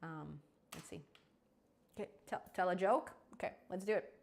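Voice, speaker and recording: A young adult woman hosting a live coding stream, chatty and quick to laugh, often restarting her words. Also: on the low side, vocal fry. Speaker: programming channel host.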